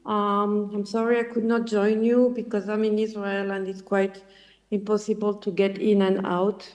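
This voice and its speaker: A woman with a French accent speaking remotely to a panel over a video call, in a measured, earnest way. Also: mid-range voice, flat delivery, a little mellow.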